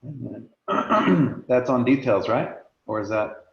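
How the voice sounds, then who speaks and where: Gravelly man hosting a video meeting. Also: medium pitch, lively intonation, slow pace. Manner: rambling with many fillers. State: losing his voice, clearing throat. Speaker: meeting host. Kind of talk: casual meeting chat, screen sharing. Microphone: video call. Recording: echoey lo-fi video call.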